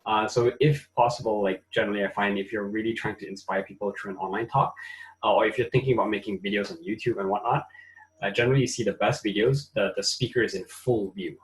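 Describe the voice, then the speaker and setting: A man from Malaysia with a Malaysian accent, co-hosting an online webinar in a relaxed manner with frequent fillers, speaking over a video call. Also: lowish voice, quick pace, poor audio. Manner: relaxed, thinks aloud with fillers. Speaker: webinar co-host. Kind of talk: casual online webinar talk.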